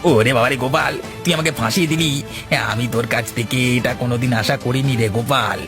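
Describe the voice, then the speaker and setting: Guy doing a lazy thief, mid male voice, theatrical cartoon dub, music clearly behind. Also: mid-range voice, a little fast, lightly acted. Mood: dismayed. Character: lazy, ease-loving village thief. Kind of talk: Bengali cartoon dialogue dub.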